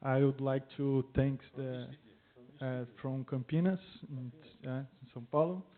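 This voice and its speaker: A man's voice: medium pitch, fairly even intonation, measured pace. A man with a Brazilian accent, a native Portuguese speaker, giving a short thank-you speech as a senior medical resident. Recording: narrow tinny phone-like sound.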